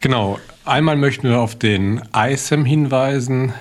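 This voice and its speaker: A man's voice: medium pitch, measured pace. Upbeat male podcast host. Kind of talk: casual podcast chat. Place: on site at a training course.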